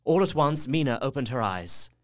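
A man's voice: mid-range voice, animated, moderate pace, close to an everyday voice. A man as earnest young Jonathan Harker. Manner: earnest, subdued diary narration. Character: young husband Jonathan Harker, anxious, devoted. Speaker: narrator-diarist. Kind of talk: audio drama narration.

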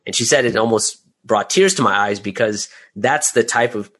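Low-voiced man chatting as interview guest. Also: normal speed, a bit bright. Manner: chatty, often adds "right?" and "you know". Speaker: interview guest, audio drama creator. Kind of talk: conversational interview answers.

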